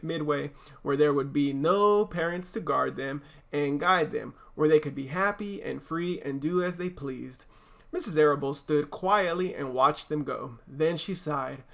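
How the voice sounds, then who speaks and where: A man's voice: a little high, creaky, fairly bright tone. A man reading a children's story aloud as a teacher, with casual asides.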